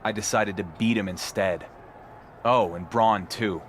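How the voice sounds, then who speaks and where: Low-voiced cocky young wrestler. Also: male voice, measured pace, a bit bright, slight background noise. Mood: cocky, cool. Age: young adult. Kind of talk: scripted game cutscene dialogue.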